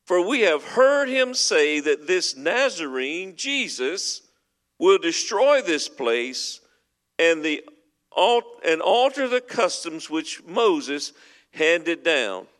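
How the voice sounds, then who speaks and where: A man's voice: sits high, fairly bright tone. A man preaching a sermon as pastor, earnest and emphatic as he works through scripture. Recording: long hall reverb.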